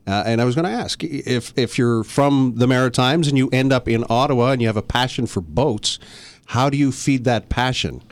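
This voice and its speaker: A man's voice: mid-range voice, normal speed, no room sound. A man hosting an afternoon radio program in a studio, asking questions in a conversational way with small restarts. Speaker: radio host. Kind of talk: radio interview.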